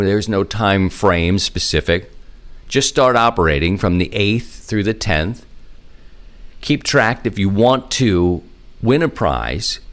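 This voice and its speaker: Male podcast host, lowish voice, casual and folksy, informal slangy delivery. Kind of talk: casual hobby podcast announcements.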